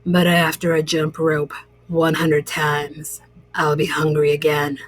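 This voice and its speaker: A woman's voice: sits low, monotone, slow, no room sound, faint music behind, pitched below the performer's own voice. A woman voicing a menacing, hungry old male frog ghost in a children's storybook.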